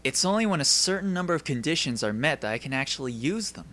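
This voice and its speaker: A man's voice: fairly high pitch, lively intonation, fast, sharp tone. A man voicing a polite, composed teenage esper who explains things courteously in an anime dub style. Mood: calm, assured.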